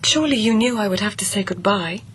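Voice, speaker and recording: An actress playing a shrewd court lady in a radio drama, who questions others with quiet, ironic persistence. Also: mid-range voice, expressive, quick pace, slight background noise, close to an everyday voice. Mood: probing.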